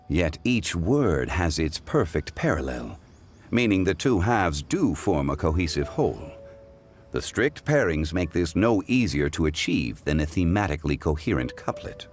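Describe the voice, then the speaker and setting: Deep, dignified scholarly gentleman. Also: very animated, faint music behind, full character voice. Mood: pedantic. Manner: formal, measured, scholarly. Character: dignified, learned gentleman consultant, calm and verbose. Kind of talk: video game voice acting.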